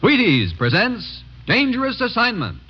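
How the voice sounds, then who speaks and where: A man's voice: very high-pitched, huge pitch swings, measured pace. A man proclaiming dramatically as a 1950s radio show announcer. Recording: muffled vintage radio recording, loud music.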